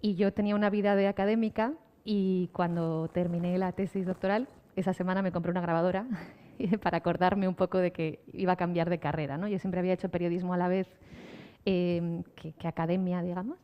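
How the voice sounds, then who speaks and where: A woman's voice: lowish voice, little pitch movement, fairly quick, smooth. A woman telling a personal story as a podcast creator on a panel. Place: live panel in a hall. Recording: reverberant hall, slightly dull sound.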